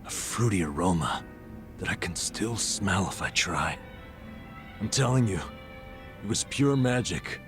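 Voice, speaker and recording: Middle-aged male vagabond, very low voice, boastful and stressed, dramatic storyteller, game cutscene, music behind. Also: animated, unhurried, pauses often. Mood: dreamy, boastful. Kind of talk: video game cutscene acting.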